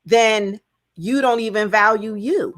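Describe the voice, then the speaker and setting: A woman's voice: medium pitch, slow pace, a bit bright, no room sound, studio quality. A woman in her fifties hosting a challenge group, talking in an earnest, personal way and repeating key points for emphasis. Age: 50s. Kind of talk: casual group talk.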